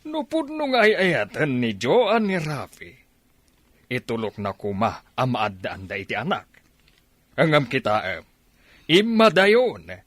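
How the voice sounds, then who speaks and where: A man's voice: mid-range voice, huge pitch swings, unhurried, a bit bright, no room sound, heavily acted. A man playing an older father who longs for a grandchild in an Ilocano radio drama. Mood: concerned, insistent.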